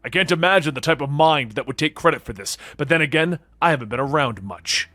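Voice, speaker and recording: A man voicing a steadfast, reasonable superhero in a measured, confident way for a comic-book story. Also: a little high, bright, forceful tone, dry recording, soft background music. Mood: wry.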